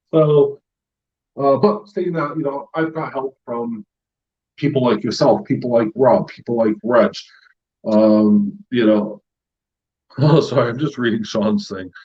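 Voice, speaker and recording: Middle-aged American host, husky voice, muffled stream audio, rambling chat. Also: male voice, mid-range voice, slow pace, pauses often. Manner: rambling, with many fillers and laughs. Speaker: YouTube channel host; retired paramedic. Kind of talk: casual live-stream chat.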